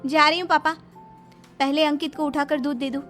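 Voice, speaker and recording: A woman's voice: sits high, steady tone, moderate pace, fairly bright tone, soft background music. A young woman voicing a talkative, bossy but caring teenage big sister who scolds and fusses in a moral story. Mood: bossy, fussing. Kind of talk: acted moral story dialogue.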